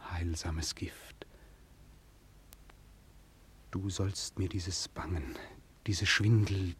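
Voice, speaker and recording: An actor playing a despairing man set on taking poison, halting and declamatory, in a classic radio play. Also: deep voice, slight background noise. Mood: anguished whisper. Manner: halting, declamatory, dropping to whispers. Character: despairing man resolved to drink stolen poison.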